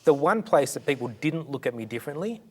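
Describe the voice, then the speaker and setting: A middle-aged man with an Australian accent answers interview questions. His voice sits in a middle pitch, and he speaks earnestly and fluently, now and then restarting a phrase. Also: big pitch swings, quick pace, husky. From born in India, raised in Sydney. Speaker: charity director, guest.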